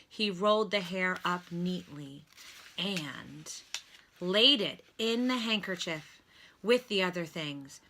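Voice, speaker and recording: A woman reading a children's storybook aloud like a teacher, with deliberate, instructive delivery. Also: on the low side, expressive, sharp tone, slight background noise. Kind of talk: read-aloud storybook narration with lesson prompts.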